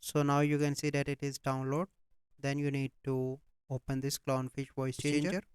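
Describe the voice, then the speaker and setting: A man hosting a step-by-step software tutorial, speaking in a plain, instructional manner with repetitive phrasing. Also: mid-range voice, monotone, moderate pace, smooth, dry recording. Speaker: tutorial host.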